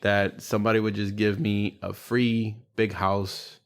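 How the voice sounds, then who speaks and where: A man's voice: on the low side, flat delivery, a little slow. A man chatting casually with young kids, asking questions and repeating their answers back. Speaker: host interviewing his children.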